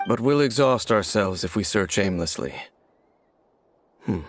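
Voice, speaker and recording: A man playing a stoic, guarded wanderer in a video game, speaking formally in a measured, gravelly voice. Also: fairly low pitch, animated, slight background noise. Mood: brooding, matter-of-fact. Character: ageless, stoic male wanderer, aloof and guarded. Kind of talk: video game character acting.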